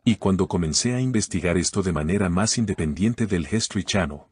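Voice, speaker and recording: A man from Canada, a guest in an interview, answering questions in a calm, methodical, explanatory way. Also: deep voice, fairly quick, husky.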